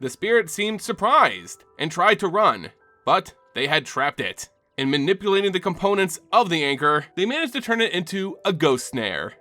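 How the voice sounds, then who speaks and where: A man giving dramatic scripted narration and commentary as the host of a fantasy lore channel, with an expressive storyteller delivery. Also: high voice, moderate pace, soft background music.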